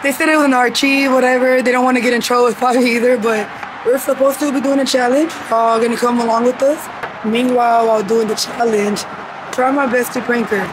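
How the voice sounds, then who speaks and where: Young woman with a hoarse, recovering voice. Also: fairly high pitch, fairly even intonation, a little fast, background noise. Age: young adult. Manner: slangy, playful. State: voice still recovering, rough. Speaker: vlogger. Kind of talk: casual chat. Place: inside a car.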